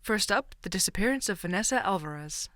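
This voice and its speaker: A woman's voice: medium pitch, animated, fairly quick, fairly bright tone, no room sound, clean studio sound, faint music behind, lightly acted. A woman playing a playful true-crime-loving co-host. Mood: eager. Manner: playful, bantering. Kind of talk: fiction podcast drama.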